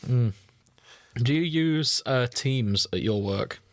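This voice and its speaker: A man's voice: mid-range voice, expressive, takes it slowly, no echo. A man co-hosting a casual podcast, chatting in a jokey, irreverent and sweary way. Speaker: podcast co-host. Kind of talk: casual banter.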